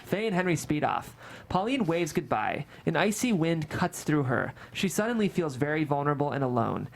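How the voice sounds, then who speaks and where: A woman's voice: deep voice, big pitch swings, moderate pace, gravelly. A woman reading screenplay stage directions and scene headings as narrator at a live table read.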